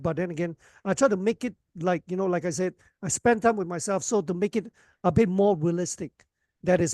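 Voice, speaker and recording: A middle-aged man talking casually as an actor guest on a podcast interview, relaxed and rambling, often asking "you know what I mean" and laughing. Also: sits high, dry recording, studio quality. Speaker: actor and action choreographer guest.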